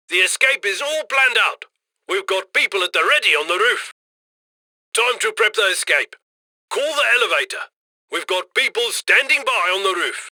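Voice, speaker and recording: A man playing a brash, upbeat heist crew handler in a video game, giving brisk, cocky commands. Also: high-pitched, sharp tone, no room sound, studio quality, exaggerated voice. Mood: urgent, businesslike. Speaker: mission handler giving instructions over comms.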